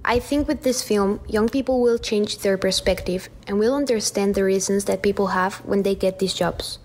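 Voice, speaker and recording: A woman's voice: medium pitch, normal speed, darkish tone. Earnest young woman. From Mexico. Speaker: student guest. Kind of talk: reading a prepared film response.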